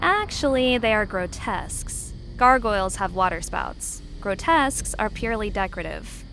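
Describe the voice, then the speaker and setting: An actress playing a bookish young student, explanatory and matter-of-fact, in an audio drama. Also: female voice, medium pitch, animated, moderate pace, vocal fry, a bit bright, a little hiss or hum. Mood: knowledgeable. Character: bookish young female art history student. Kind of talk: natural audio drama dialogue. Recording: faint ambience behind.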